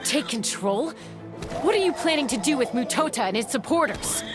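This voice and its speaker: A woman's voice: high-pitched, expressive, moderate pace. An actress playing a defiant, principled young warrior woman in a video game, talking bluntly and firmly. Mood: accusing. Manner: firm, blunt, challenging. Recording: music under the voice.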